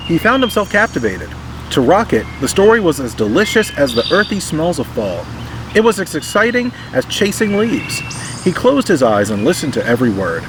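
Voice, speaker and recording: Male narrator for children's storybook, highish voice, very animated; clear background noise. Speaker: storybook reader.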